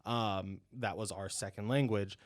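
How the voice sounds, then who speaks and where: A man in his twenties from Ohio, a podcast guest chatting casually, rambling with lots of fillers and quick laughs. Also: on the low side, steady tone. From Ohio, USA. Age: 20s. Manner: rambling, heavy on "you know" and "um", laughs often. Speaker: podcast guest, dental student. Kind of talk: casual interview chat.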